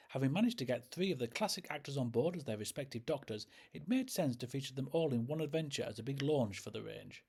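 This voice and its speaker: Husky-voiced man presenting a scripted review. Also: medium pitch, a little mellow. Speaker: host and reviewer. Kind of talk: scripted narration, audio drama review.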